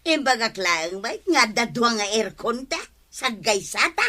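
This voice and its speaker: Boastful gossipy village wife, Ilocano accent, very piercing high voice, radio comedy. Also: female voice, expressive, sharp tone, a little hiss or hum. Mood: nagging. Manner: exclamatory, drawn-out, theatrical delivery.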